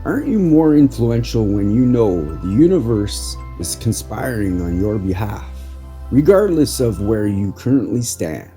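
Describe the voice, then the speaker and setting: A man with a very dark, mellow and relaxed voice speaks earnestly as an inspirational podcast host. His deep-leaning pitch rises and falls in a lively way, with deliberate phrasing. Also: soft background music. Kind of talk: inspirational spoken article reading.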